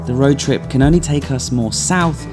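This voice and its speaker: An easygoing man with a voice of middling pitch narrates his road trip in a relaxed, conversational tone. Plucked guitar music plays clearly behind him. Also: normal speed. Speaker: travel vlogger. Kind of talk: travel vlog narration.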